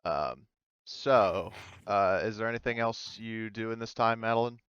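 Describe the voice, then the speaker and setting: A man with a low, smooth voice narrates a tabletop roleplaying session as game master. He talks casually and haltingly, feeling for the next word. The sound is close and dry, a little muffled. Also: fairly bright tone, dry recording. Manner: halting, searching for words.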